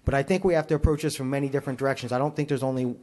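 A man speaking as a fire chief at a public meeting, answering questions in a measured, formal, explanatory way. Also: medium pitch, fairly even intonation, darkish tone.

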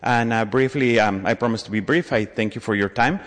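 A man interpreting and speaking formally and deliberately at a public hearing. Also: on the low side, fairly bright tone. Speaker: interpreter and community advocate. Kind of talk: consecutive interpreting and public comment. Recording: echoey hall, long reverb.

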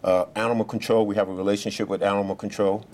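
A police official giving remarks at a press briefing, speaking loudly and haltingly, with many fillers and restarts. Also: male voice, fairly low pitch, level tone. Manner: speaks loudly without a mic.

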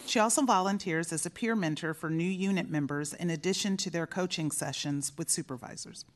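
A woman at a commission meeting, steadily reading a prepared employee-of-the-month citation aloud. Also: on the low side.

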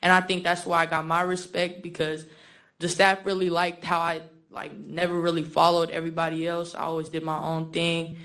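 Young woman, casual and halting. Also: on the low side, level tone, a bit bright. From born in the Philippines, raised in San Francisco from age eight. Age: young adult. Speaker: young guest speaker giving testimony. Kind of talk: informal personal testimony.

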